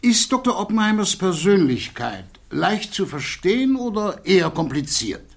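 An actor playing a defence attorney in a radio play, putting pointed, deliberate questions in cross-examination. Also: male voice, high voice, very animated, gravelly, breathy edge, full character voice. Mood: probing.

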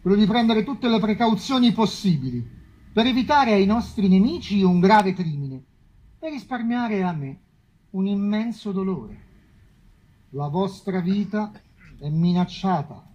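A man's voice: high voice, animated, a little slow, darkish tone, muffled recording. A man acting a troubled, solemn pope in a theatrical stage drama, indignant and fearful of conflict. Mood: grave, pleading. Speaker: stage actor and narrator. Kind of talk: theatrical Italian stage monologue. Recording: live theatre piece with music.

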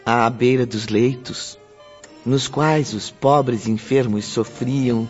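Man acting a slow, sorrowful spirit narrator. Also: mid-range voice, steady tone, a little mellow, close to an everyday voice. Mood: solemn. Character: sorrowful male spirit narrator recalling his suffering. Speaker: narrator of a radio drama. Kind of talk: scripted dramatic narration. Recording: muffled audio with faint music.

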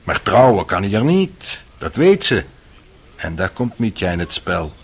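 A man's voice: on the low side, sing-song, measured pace, breathy edge, heavily acted. An actor playing a cynical, worldly young man in a radio drama, sly and needling in manner. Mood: mocking, insinuating. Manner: sardonic, insinuating.

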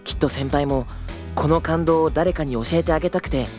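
Young man playing an earnest trainee, fairly high voice, polite and flustered, faint music behind. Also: fairly quick, darkish tone, lightly acted. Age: 20s. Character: earnest young male new employee. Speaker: trainee tour guide, narrator and lead. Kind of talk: audio drama narration and dialogue.

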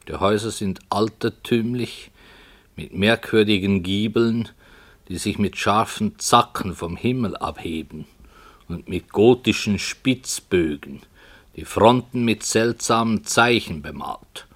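A slow, low-voiced author narrating. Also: male voice, a bit bright. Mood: brooding, solemn. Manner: solemn, measured. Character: brooding, polite male radio-play author telling his story. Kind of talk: literary scripted narration in a 1960 radio play.

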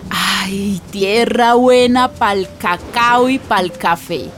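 A folksy Colombian neighbour woman, gossipy and fond. She tells her story at a slow, confiding pace, sighing as she goes, in a medium-pitched voice. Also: faint music behind. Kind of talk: radio drama storytelling.

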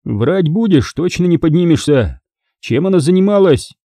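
A man's voice: fairly high pitch, expressive, moderate pace, warm tone, dry recording, pitched above the performer's own voice. Man playing a menacing, sardonic male thug. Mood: cold, domineering. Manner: sardonic, taunting, domineering. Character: menacing male thug, mocking, cold-blooded interrogator.

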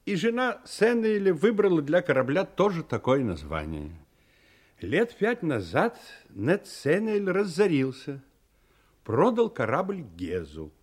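An actor playing an affable, chatty chief mate of a sailing ship in an audio drama, conversational and gossipy. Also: male voice, fairly high pitch, huge pitch swings, unhurried, heavily acted. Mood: affable, explaining.

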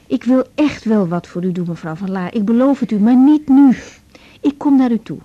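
An actress playing a young woman doctor in a radio drama, polite and hesitant but gently firm. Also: on the high side, normal speed, lightly acted. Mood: reassuring, businesslike. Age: young adult. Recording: slightly dull 1980s radio recording.

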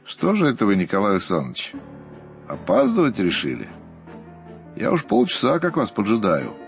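A man's voice: lowish voice, wildly swooping pitch, normal speed, gravelly, dull audio, soft background music, voice pushed up, exaggerated voice. A man voicing an irritated, domineering monastery abbot who scolds and reproaches. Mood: reproachful.

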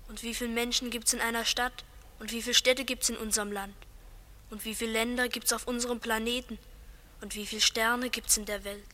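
A thoughtful young schoolboy in an old radio play, earnest and soft-spoken. The voice sits fairly high and muses quietly. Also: female voice. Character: thoughtful schoolboy dreaming of a better future. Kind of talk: 1950s radio play acting.

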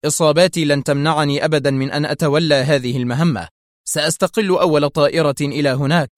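A man's voice: medium pitch, expressive, moderate pace, no echo, clean studio sound, natural voice. A man playing a stern, principled male intelligence officer in audiobook dialogue, speaking firmly and with emphasis. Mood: resolute. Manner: firm, strict, emphatic.

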